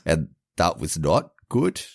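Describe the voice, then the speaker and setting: Male podcast co-host, very low voice, dry close sound, casual and rambling film chat. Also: wildly swooping pitch, slow, vocal fry, husky, no room sound. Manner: informal, rambling, frequent "like". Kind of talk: casual movie review chat.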